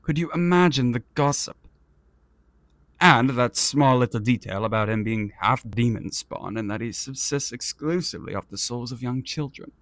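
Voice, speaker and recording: Man playing a haughty, creaky-voiced fantasy prince. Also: mid-range voice, normal speed, a bit bright, no room sound, lightly acted. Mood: petulant, indignant. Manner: pompous, theatrical, elaborately worded and condescending. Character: arrogant, vain, petulant fantasy prince, haughty and witty. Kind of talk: scripted ASMR roleplay audio drama.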